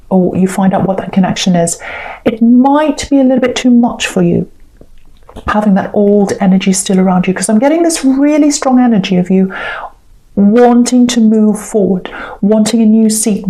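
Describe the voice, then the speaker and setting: A woman with a soft-edged, mellow voice talks through a tarot reading. She speaks unscripted and straight to the listener, feeling her way through each thought and circling back to repeat key phrases. Her pitch sits in an ordinary middle range for a woman. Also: low-quality recording. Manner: hesitant, reflective, repeats phrases for emphasis. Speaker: tarot reader, host. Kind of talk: intuitive tarot reading, unscripted talk to the viewer.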